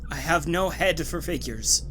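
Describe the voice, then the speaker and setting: A man's voice: fairly high pitch, measured pace, noisy background, close to an everyday voice. A man playing an anxious, poetic clerk in a dystopian audio drama, with an earnest, theatrical, emotive delivery. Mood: nervous, defensive. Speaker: actor. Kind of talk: audio drama acting, with reflective first-person narration.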